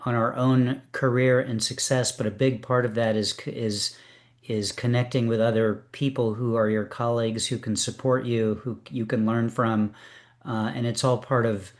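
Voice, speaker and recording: A man's voice: medium pitch, flat delivery, normal speed. Encouraging man hosting an open reading. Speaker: host of a poetry open reading. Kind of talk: casual hosting chat.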